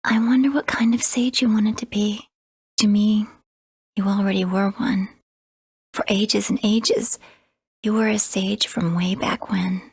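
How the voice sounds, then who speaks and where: An actress playing an earnest, wistful young girl apprentice in a video game, with a soft, breathy voice. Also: mid-range voice, steady tone, unhurried, no echo.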